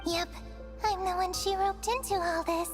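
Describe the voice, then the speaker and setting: A breezy, cheeky former angel. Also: female voice, extremely high pitch, steady tone, normal speed. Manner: casual, breezy talk. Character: former angel turned author, laid-back and cheeky. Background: game music.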